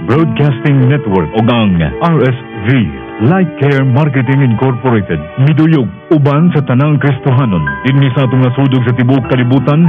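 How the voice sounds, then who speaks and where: A man's voice: medium pitch, moderate pace. A man delivering a scripted announcement as a station announcer. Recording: phone-like radio broadcast with music.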